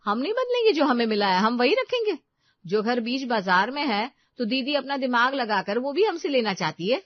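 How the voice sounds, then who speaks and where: A woman's voice: on the high side, big pitch swings, moderate pace, no echo, muffled recording, voice pushed up. A woman voicing a bossy, resentful young sister-in-law in a story, scolding and emphatic. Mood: defiant.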